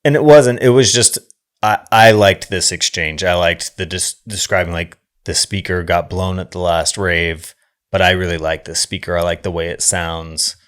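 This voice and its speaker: Male podcast co-host, low-pitched, conversational, retelling scenes loosely and pausing to check his word choices. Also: fairly even intonation, a bit bright, clean tone. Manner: searches for words, checks terms aloud. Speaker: podcast co-host discussing films. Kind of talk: casual conversational film recap.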